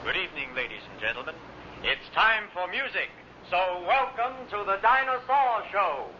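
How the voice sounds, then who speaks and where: A man with a high voice announces in vintage radio style. His voice echoes on a muffled recording with clear noise. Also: expressive, moderate pace.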